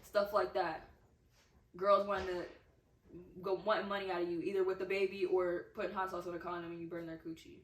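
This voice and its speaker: Young woman co-host, soft and candid, filler-heavy; recorded off-mic in an echoey room. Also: lowish voice, flat delivery, fairly quick, recorded from a distance. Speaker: podcast co-host. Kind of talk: casual chat.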